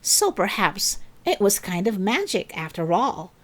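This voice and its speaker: A woman reading a children's story aloud in an expressive, dramatic and deliberate narrating style. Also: medium pitch. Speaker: storybook reader.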